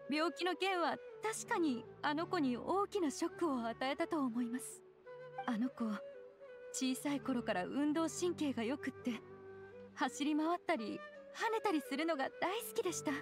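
An actress playing an anxious, gentle mother who speaks politely and softly in an anime game. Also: high-pitched. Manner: soft-spoken, polite, worried. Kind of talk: Japanese anime game voice acting.

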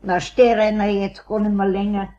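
A woman's voice: medium pitch, flat delivery, moderate pace, mellow, natural voice. A woman with a Bavarian accent playing a practical, neighbourly cleaning helper in a children's radio play. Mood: friendly, matter-of-fact. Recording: dull, muffled cassette sound.

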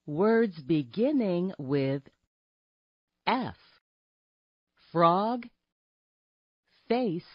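A woman with a fairly low voice narrates a phonics lesson for little children. She places each word separately and very slowly, with animated intonation. The recording is dry and a little muffled. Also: no room sound. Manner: deliberate, separated words and short phrases. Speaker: narrator of a children's alphabet app.

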